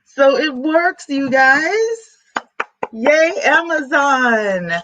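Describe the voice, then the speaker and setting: A woman YouTuber, chatting excitedly to her viewers from home while she sets up a new microphone. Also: high-pitched, drawn-out words, dull audio. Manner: chatty, excitable, talks to chat. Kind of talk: casual vlog chat to viewers. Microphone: camera mic, then a new plug-in USB mic.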